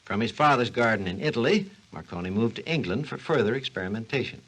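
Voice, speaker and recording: A man's voice: mid-range voice, lively intonation, fast, a bit bright, poor audio. A man playing a learned, patient scientist who explains history in a scripted 1940s educational radio program. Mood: storytelling. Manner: didactic, storytelling delivery. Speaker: expert guest on a science program. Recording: husky-sounding 1940s radio recording.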